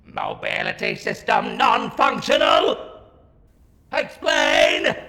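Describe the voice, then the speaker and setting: A man voicing a ruthless, imperious alien war machine with a grating, staccato, shouted delivery through a robotic filter, in a sci-fi audio drama. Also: very high-pitched, expressive, slow, full character voice. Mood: agitated. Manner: shouting, commanding. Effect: robotic ring-modulated voice filter. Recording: echoey reverberant hall, music behind.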